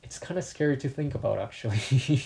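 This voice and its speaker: Young man, Spanish first language, mellow warm voice, medium pitch, chatty vlog talk. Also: fairly quick, creaky. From Mexico. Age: 20s. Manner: relaxed, chatty, self-deprecating asides. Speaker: YouTuber. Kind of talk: casual facts vlog.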